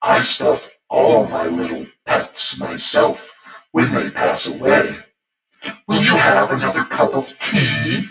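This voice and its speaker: A man voicing a sweetly sinister little old landlady in a spooky bedtime story, with gentle, genteel, drawn-out phrasing. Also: medium pitch, wildly swooping pitch, gravelly, voice pushed up, heavily acted. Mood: proud, sweet. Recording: echoey, distant voice over music.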